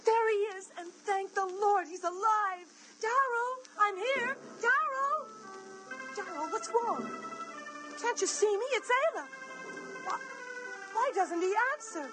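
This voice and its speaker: An actress playing a young carnival high-wire artist who pleads emotionally for a friend. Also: female voice, measured pace, over music, poor audio. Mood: frantic.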